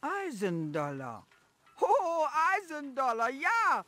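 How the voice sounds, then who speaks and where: A woman's voice: sits high, very animated, takes it slowly, fairly bright tone, dry recording, heavily acted. A woman voicing a cheerful food-stall cook in a video game, with a heavy Jamaican accent and sing-song sales patter. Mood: gossipy.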